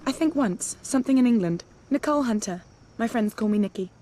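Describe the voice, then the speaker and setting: A woman's voice: a little high, animated, a little fast, mellow, a little hiss or hum, natural voice. A woman acting a flirtatious socialite secret agent in a video game, playful, arch and self-assured. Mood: flirty. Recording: music behind the dialogue.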